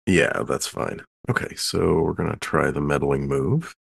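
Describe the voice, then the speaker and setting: A man's voice: very deep voice, animated, a little slow, vocal fry, slightly rough, no room sound, studio quality. A man chatting casually as a player in a tabletop roleplaying game.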